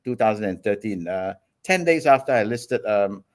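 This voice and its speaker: A man in his forties from Singapore, speaking as a guest entrepreneur in an interview. He tells his story in a relaxed, steady way, with frequent ums and self-corrections. His voice sits fairly low. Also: normal speed.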